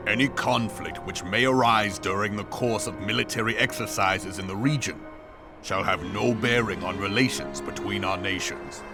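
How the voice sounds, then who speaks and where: An actor playing a stern, seasoned male general who speaks gravely in formal, old-fashioned phrasing, in a game cutscene. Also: on the low side, moderate pace, close to an everyday voice. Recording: music score underneath.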